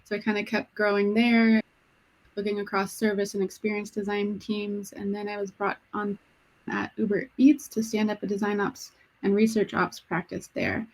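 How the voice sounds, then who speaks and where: A woman with an even, flat-pitched voice speaking on a video call. She sums up her career in a brisk, matter-of-fact way. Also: poor audio. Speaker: panelist. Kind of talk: panel introduction.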